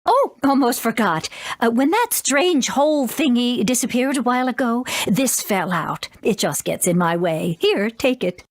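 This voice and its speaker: A woman's voice: on the high side, lively intonation, moderate pace, hardly any pauses, no room sound. A woman voicing a breezy, offhand mother character in a video game.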